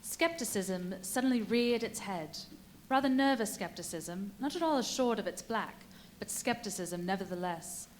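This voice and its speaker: A woman narrating a live radio play as a composed, wry storyteller with measured, formal delivery. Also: medium pitch, animated, natural voice. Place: live performance at a brewery venue. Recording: live stage recording, light noise.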